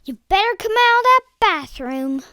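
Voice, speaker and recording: Very shrill, squabbling bear cub. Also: very animated, normal speed, dry recording, clean studio sound, exaggerated voice. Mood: snappy, angry. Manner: shouting, whiny complaining. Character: angry young bear cub siblings, squabbling and snappy. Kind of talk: children's storybook read-aloud.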